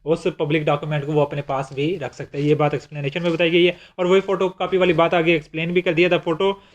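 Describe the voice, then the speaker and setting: A man's voice: fairly high pitch, flat delivery, fast talker, lo-fi sound. A man with a Pakistani accent explaining legal material like a lecturer, in a didactic way, restating his points. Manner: didactic, repeats and rephrases points. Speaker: law lecturer.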